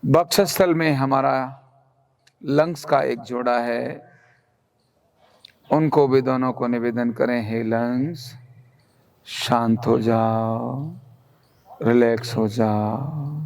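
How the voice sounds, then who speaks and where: A man leading a guided relaxation meditation in a calm, soothing, instructional manner. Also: medium pitch, slow, long pauses. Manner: calm, soothing, instructional, with gentle repeated commands.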